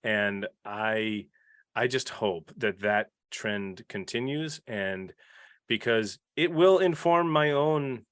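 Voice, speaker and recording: A man's voice: lowish voice, slow pace, a bit bright, clear, resonant tone, no echo, clean studio sound. A man speaking earnestly and a little self-deprecatingly in a casual panel discussion, as a podcast panelist.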